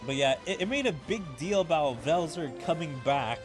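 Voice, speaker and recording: A man's voice: a little high, animated, normal speed, over music. A man giving casual game commentary as a streamer. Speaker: gaming streamer.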